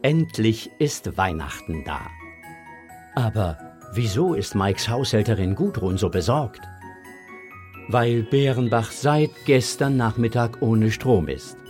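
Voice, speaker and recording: A man tells a children's story as a scripted narrator. His voice is fairly low, and music plays behind him as he reads. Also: animated, slow pace, a little mellow.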